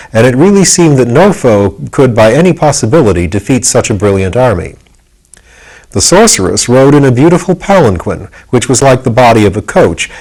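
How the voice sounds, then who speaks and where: A man narrates a children's storybook from a script. His voice sits in a middle pitch range as he reads the tale aloud. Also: a little mellow, low-quality recording. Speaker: narrator, audiobook reader.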